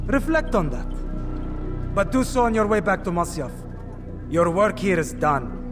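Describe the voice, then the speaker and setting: A haughty, disapproving superior in a video game, scolding in curt formal phrases. His high voice swoops up and down over music. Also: sing-song, a little slow. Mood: cold disapproval.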